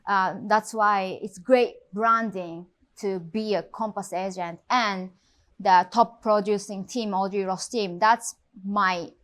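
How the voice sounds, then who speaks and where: Brief: Japanese-born woman, thirties, real estate agent, non-native English, mid-pitched, hesitant and earnest. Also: steady tone, fairly bright tone. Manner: halting non-native English with frequent fillers. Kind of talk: interview conversation.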